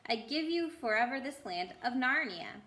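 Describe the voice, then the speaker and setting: A woman reads as a strong, kindly lion king from a storybook, solemn and measured in delivery. The voice is bright and carries a clear room echo. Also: high-pitched, expressive, voice pushed up. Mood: solemn, benevolent. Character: majestic, strong, happy male lion, gravely kind ruler.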